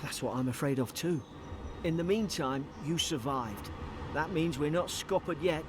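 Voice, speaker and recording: An actor playing a loyal, worried naval gunner in a video game, earnest and respectful, with noise behind him. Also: male voice, fairly high pitch, normal speed, close to an everyday voice. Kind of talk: video game character dialogue. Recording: clear background ambient noise from game.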